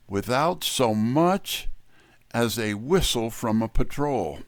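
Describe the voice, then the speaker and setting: A man's voice: mid-range voice, dry recording. A man giving a scripted, wry first-person hardboiled detective narration.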